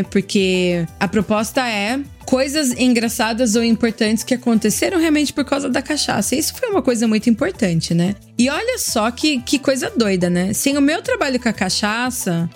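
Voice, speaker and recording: Chatty Brazilian woman telling a story. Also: a little high. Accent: Brazilian Portuguese. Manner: chatty, addresses listeners directly, warm and enthusiastic. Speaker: podcast host. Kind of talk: scripted storytelling podcast.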